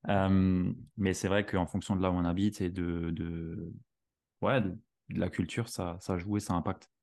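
Host from Alsace: man, very low voice, hesitant, filler-heavy. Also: darkish tone. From Alsace, France. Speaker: podcast host. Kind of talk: conversational interview.

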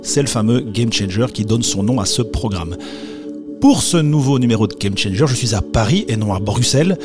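A man's voice: lowish voice, lively intonation, normal speed. Podcast host man over music. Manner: polished host patter with playful asides. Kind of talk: hosted music podcast talk. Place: radio studio.

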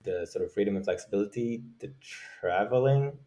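Man from Norway, low voice, casual interview answers, halting delivery, startup founder. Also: mellow.

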